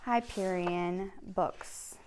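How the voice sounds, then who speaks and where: A woman's voice: fairly low pitch, fairly even intonation, very slow, a little mellow, a little hiss or hum. A woman art teacher addressing young children with simple step-by-step instructions and questions. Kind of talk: children's read-aloud and step-by-step drawing lesson.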